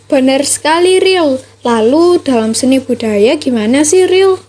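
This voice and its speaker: A young woman with an Indonesian accent presents on a student podcast. Her voice sits a bit high as she reads out educational material. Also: lively intonation, unhurried, darkish tone.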